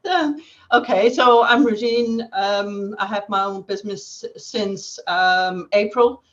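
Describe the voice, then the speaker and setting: Flemish-accented woman, halting, slightly muffled. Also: mid-range voice, a bit bright. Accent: Flemish Belgian. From Belgium, Flanders. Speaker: guest digital twin consultant. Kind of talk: explanatory interview answers. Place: online webcast. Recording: dull, band-limited web call audio.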